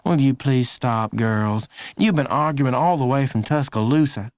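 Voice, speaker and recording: A man's voice: mid-range voice, normal speed, a little mellow, no echo, voice pushed up, lightly acted. A man voicing a tired, exasperated mother in a children's audiobook. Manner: weary, patient scolding.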